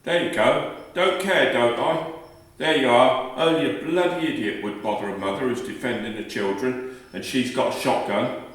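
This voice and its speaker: Radio drama: male actor as irritable working-class family man, gruff and colloquial, mid pitch, heavy room echo. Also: normal speed, distant mic, lightly acted. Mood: defiant. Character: gruff, defensive working-class husband and father, short-tempered labourer. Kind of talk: radio play acting. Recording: very echoey room, slightly off mic.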